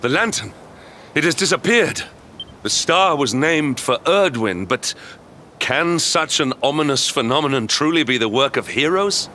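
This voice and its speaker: An actor playing a stern, dutiful knight with a formal, grave manner in a video game cutscene. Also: male voice, a little high, lively intonation, measured pace, bright, forceful tone, a little hiss or hum. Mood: grave, doubtful.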